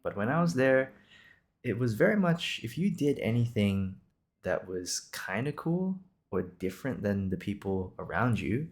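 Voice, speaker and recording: A man's voice: fairly low pitch, very animated, a little slow. A man in his twenties from Singapore hosting an improvised podcast, talking casually in a reassuring, encouraging, conversational way. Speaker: podcast host.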